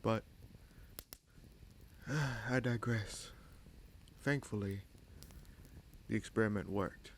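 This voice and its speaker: A man acts out a bitter, commanding prince in a fantasy audio roleplay. His voice sits low with a heavy creak, and he speaks with deliberate, sardonic menace and dramatic pauses. Faint noise and ambience sit behind him. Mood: smug, controlled. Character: bitter, scheming bastard prince commanding a captive dragon.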